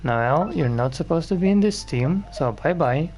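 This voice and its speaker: A man's voice: fairly high pitch, animated, moderate pace, darkish tone. A man giving casual, rambling gameplay commentary as he plays. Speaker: gaming video host. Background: video game music.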